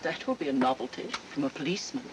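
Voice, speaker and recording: A woman's voice: lively intonation, fast. A disdainful, middle-aged lady of title, imperious and dry, with swooping intonation. The sound is a noisy 1940s film soundtrack. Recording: noisy old film soundtrack, train rumble.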